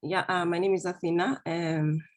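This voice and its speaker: A woman with a low voice talks in a relaxed, chatty way and thinks aloud as she goes. She grew up in Greece. She speaks over a video call. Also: normal speed. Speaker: course participant. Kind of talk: casual self-introduction.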